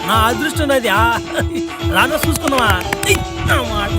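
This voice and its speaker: A man's voice: very high-pitched, lively intonation, normal speed. A man voicing a brash, foul-mouthed parody superhero who boasts and taunts in slang, in a crude comic cartoon dub. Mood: cocky, taunting. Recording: music behind the voice.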